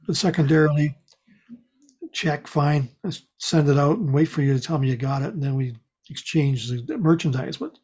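A woman with a husky voice in casual conversation, telling things in a measured, rambling way. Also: sits low, mellow, lo-fi sound. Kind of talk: casual chat.

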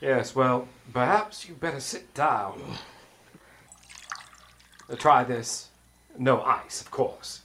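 An actor playing a cagey, formal police commissioner in an old radio crime drama, with measured, clipped delivery. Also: male voice, medium pitch, sharp tone, slight background noise. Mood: dry, guarded. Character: urbane, cagey British colonial police commissioner.

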